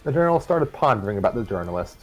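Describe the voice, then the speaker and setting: A man's voice: mid-range voice, big pitch swings, soft background music, poor audio. A man hosting a live stream, reading a fan story aloud and throwing in side comments. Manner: reads narration with quick voices and side comments. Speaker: story reader and streamer host.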